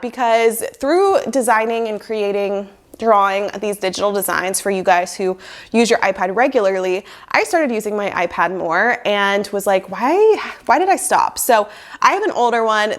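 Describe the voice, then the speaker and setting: A young woman in her twenties, sick with a cold and sounding nasal, chatting upbeat to camera in a small room on a lapel mic, with lots of "like" fillers. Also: mid-range voice. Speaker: lifestyle vlogger. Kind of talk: casual chat to camera. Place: small bedroom office indoors. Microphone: lapel mic plugged into a phone.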